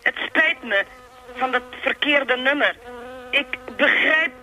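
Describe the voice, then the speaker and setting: A woman's voice: sits high, slow, sharp tone, full character voice, rough recording. An actress playing a matter-of-fact, slightly hesitant woman on the telephone in a radio play, speaking in clipped phrases. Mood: hesitant, apologetic.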